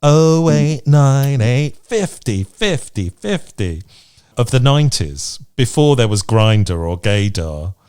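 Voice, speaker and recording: A man's voice: medium pitch, big pitch swings, takes it slowly, a little mellow, smooth. A British man co-hosting a podcast, chatting casually and reading out lists in a frank, playful way. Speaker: podcast co-host. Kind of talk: casual chat, reading out from a list.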